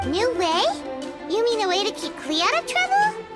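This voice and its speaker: A woman voicing a tiny, cheerful, cheeky fairy companion in an anime game, squeaky and chirpy. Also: huge pitch swings, moderate pace, exaggerated voice. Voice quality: squeaky, childlike. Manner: chirpy, refers to self by name. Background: loud music.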